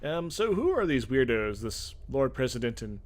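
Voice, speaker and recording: A man playing a clueless young recruit in a fantasy game, halting and dopey as he asks questions. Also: mid-range voice, sing-song, natural voice. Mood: baffled. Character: clueless, hesitant young recruit on first day.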